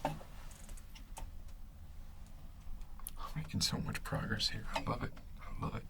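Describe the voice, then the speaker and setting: A man voicing a smug, menacing gang leader in a soft, intimate ASMR roleplay, speaking low and haltingly with mock kindness. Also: background noise. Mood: pleased, playful. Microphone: close ear-to-ear ASMR microphone.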